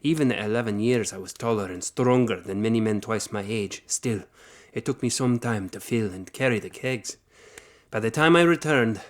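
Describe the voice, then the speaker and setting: A man's voice: mid-range voice, background noise, lightly acted. A man acting a grave knight telling his past. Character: weathered male knight recounting his childhood. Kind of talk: audio drama storytelling.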